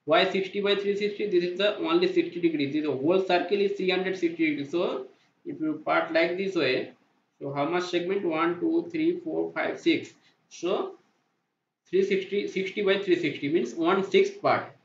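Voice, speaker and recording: A man teaching a welding calculation on a digital whiteboard, slowly asking and answering his own questions. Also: high-pitched, creaky, slight background noise. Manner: slow, repetitive, asks and answers own questions. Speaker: welding inspection instructor. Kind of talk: whiteboard math tutorial. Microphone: voice-over to screen drawing. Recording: roomy, dull lo-fi voice-over.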